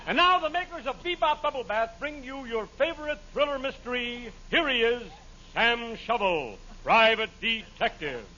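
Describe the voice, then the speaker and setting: A man's voice: very high voice, expressive, moderate pace. An actor playing a mock-earnest American radio announcer, selling in an exaggerated salesman style in a 1940s comedy show. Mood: gushing salesman. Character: mock-earnest male radio announcer hawking bubble bath. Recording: muffled, very noisy vintage radio broadcast.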